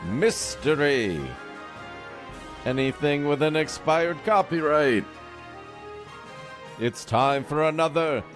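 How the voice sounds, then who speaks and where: A man's voice: mid-range voice, voice pushed up. A man voicing an old-time male radio announcer who stretches out every word. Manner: drawn-out dramatic announcing. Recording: music playing behind. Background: music.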